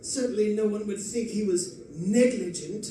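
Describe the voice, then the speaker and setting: An actor playing a gleeful, erudite criminal mastermind in an old-time radio drama, florid and condescending in manner. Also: male voice, sits high, normal speed, dark tone, natural voice. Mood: smug, scheming. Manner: theatrical, condescending, chuckling, florid. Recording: distant, very echoey live stage recording, faint noise.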